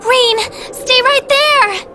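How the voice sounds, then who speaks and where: A woman voicing a high-strung, caring young heroine in a video game, with an urgent, emphatic, expressive delivery. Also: very slow, background noise, heavily acted. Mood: worried, tender. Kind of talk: dramatic video game voice acting.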